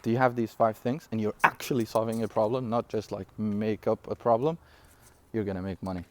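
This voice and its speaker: A young man from Syria with a low-pitched voice, for whom English is not his first language, delivers an energetic, rambling business pitch. He fills it with quick asides, fillers, and frequent laughs. A faint ambient noise sits behind him. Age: 20s. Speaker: online business coach. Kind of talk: casual direct-to-camera talk.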